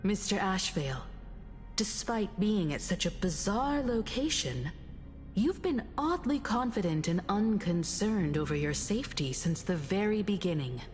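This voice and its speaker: A woman voicing a composed, probing adventurer in a video game, speaking formally and deliberately, with long reverb on the voice. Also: mid-range voice, very animated, a little mellow, soft background music. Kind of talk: dramatic video game voice acting.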